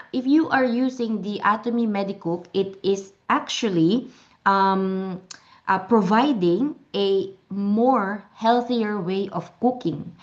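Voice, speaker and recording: A woman whose first language is Tagalog talks through a product demo in a chatty, meandering way, often restarting her sentences. Her pitch sits in the middle range. The recording sounds a little dull and lo-fi. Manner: chatty, many restarts and fillers. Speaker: product seller. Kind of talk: product demonstration.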